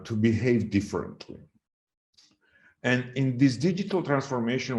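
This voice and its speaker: A middle-aged man with a Georgian accent giving a formal but unscripted presentation, explaining in a halting way and stressing key words. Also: on the low side, a little mellow. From Georgia (the country). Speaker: conference presenter on health governance. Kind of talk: formal presentation, speaking extempore.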